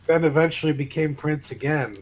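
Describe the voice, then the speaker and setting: A man's voice: a little high, fairly quick, a little hiss or hum. A man chatting casually as a co-host, with a dry, grumbling way of talking. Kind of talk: casual chat.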